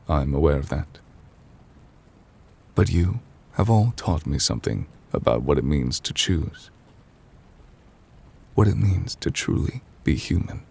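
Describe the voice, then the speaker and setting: Man playing an ancient immortal judge, extremely low voice, gravelly and breathy, formal, measured, solemn yet gentle, intimate ASMR roleplay. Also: exaggerated voice. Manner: formal, measured, halting, softly confiding.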